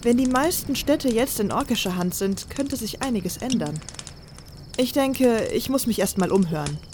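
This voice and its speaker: A woman's voice: a little high, lively intonation, moderate pace, a little mellow, slight background noise, lightly acted. A woman playing a confident, blunt female rebel spy in a fantasy radio play. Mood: matter-of-fact.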